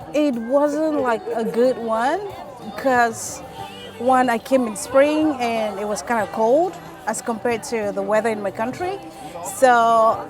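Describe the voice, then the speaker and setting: Slow-speaking, high-voiced woman from Cameroon. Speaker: interviewee, kindergarten English teacher. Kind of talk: casual interview answer. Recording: distant mic with music and crowd. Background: music and urban crowd.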